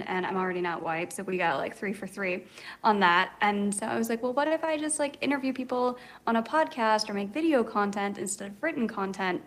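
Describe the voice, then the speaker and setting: A woman guesting on a podcast, chatting casually with a self-deprecating, storytelling manner. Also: mid-range voice. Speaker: podcast guest, travel expert. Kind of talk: casual interview chat.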